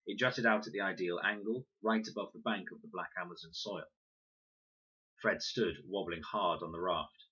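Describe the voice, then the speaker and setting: Low-voiced man narrating, slightly husky. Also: normal speed, bright, forceful tone, muffled recording. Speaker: audiobook reader. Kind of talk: scripted storybook narration.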